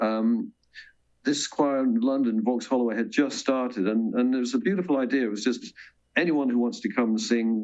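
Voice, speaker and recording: A man talking casually as an interview guest, a composer and choir director who often restarts and corrects himself, heard over a muffled remote link. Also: medium pitch. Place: remote video link from London. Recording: dull, muffled remote-call audio.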